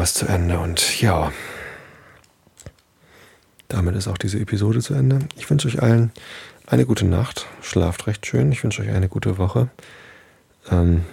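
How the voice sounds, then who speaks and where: A man hosting a bedtime story podcast, reading aloud calmly with a nasal voice from a head cold. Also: low voice, vocal fry, slightly rough, no echo. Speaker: podcast host. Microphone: close podcast mic.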